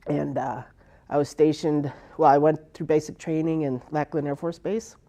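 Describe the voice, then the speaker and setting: A woman's voice: low voice, fairly even intonation, moderate pace, warm tone. An older woman, an Air Force veteran, recounting her service in a rambling oral history interview full of fillers and restarts. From United States.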